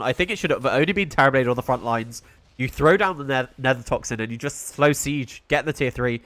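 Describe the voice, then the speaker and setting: A man's voice: medium pitch, a bit bright, no room sound. A young British man giving solo play-by-play commentary on a live esports stream, chatting with his viewers between plays. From Britain. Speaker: esports caster.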